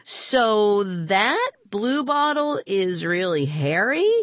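Woman doing an excitable, very high young girl. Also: brisk, no room sound, heavily acted. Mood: baffled, demanding. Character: excitable, curious young girl, the boy's friend. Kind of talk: children's audiobook character voice.

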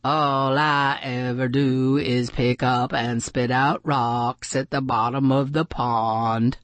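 A woman voicing a gloomy, self-pitying pond fish who grumbles and sighs in a drawn-out way in a storybook. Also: medium pitch, sing-song, no room sound, heavily acted. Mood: grumbling envy. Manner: drawn-out, glum sighing.

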